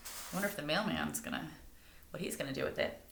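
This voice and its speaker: Lowish lady doing a kids read aloud, asks the listeners stuff, echoey room. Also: huge pitch swings, quick pace, gravelly, noisy background. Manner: engaging listeners with questions. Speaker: storybook reader. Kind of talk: animated read-aloud to children.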